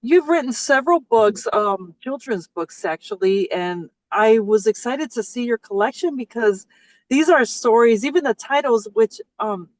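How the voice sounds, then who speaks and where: A woman's voice: fairly high pitch, animated, moderate pace, dry recording, rough recording. A woman hosting an interview, raised in a Mexican household, chatting hesitantly with many fillers over a video call. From Mexican-American household. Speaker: host. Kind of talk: casual interview.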